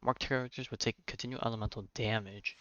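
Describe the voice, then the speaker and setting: A man's voice: medium pitch, fairly even intonation, fast talker, bright, forceful tone, no echo, dull audio. A man livestreaming a game with casual, informal commentary, thinking aloud and reading on-screen text.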